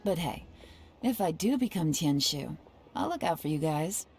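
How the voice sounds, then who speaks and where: An actress voicing a composed, playful young woman investigator in a game, low and teasing. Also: animated, moderate pace. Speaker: game character. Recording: game audio with music behind.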